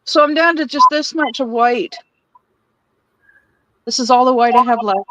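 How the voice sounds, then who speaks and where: A woman's voice: high-pitched, normal speed, fairly bright tone, no room sound, low-quality recording. A woman hosting a casual live stream while crafting, chatty and giggly, laughing between her rambling phrases. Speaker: livestream host.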